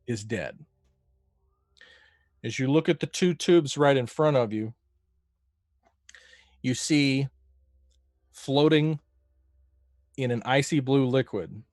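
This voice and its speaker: A man narrating a tabletop role-playing game as game master, slowly describing a scene in detail. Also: medium pitch, a little mellow, no echo, studio quality.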